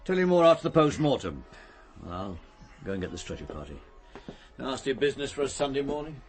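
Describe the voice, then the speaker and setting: An actor playing a brisk, darkly wry police doctor in a radio drama, clinical and matter-of-fact. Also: male voice, mid-range voice, big pitch swings, husky, faint music behind.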